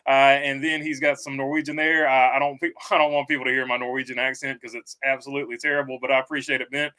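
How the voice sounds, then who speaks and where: Male streamer, bright tone, halting and casual, reads viewer comments aloud. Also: mid-range voice, flat delivery. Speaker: livestream host. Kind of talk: casual live stream chat.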